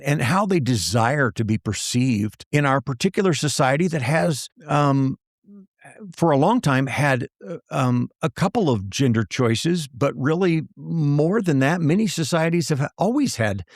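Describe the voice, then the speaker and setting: Hesitant thoughtful man, mid pitch. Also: animated, a little slow, no room sound, studio quality. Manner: thoughtful, hesitant, many fillers and repeats. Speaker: podcast co-host. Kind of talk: casual conversation.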